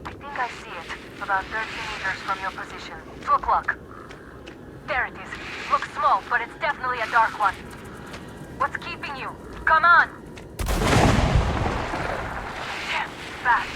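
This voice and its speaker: An actress playing a tough, sardonic young sniper in a game, curt and commanding. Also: female voice, fairly high pitch, lively intonation, moderate pace, lightly acted. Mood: tense urgent. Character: tough sardonic young female sniper in post-apocalyptic Moscow. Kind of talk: video game voice acting. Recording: noisy game battle ambience.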